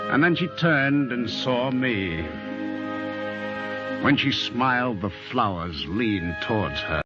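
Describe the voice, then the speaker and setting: An actor playing a lovestruck, earnest American man of thirty-six in an old-time radio drama, speaking in a measured, dramatic way. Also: mid-range voice. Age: 30s. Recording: dull old radio recording, music behind.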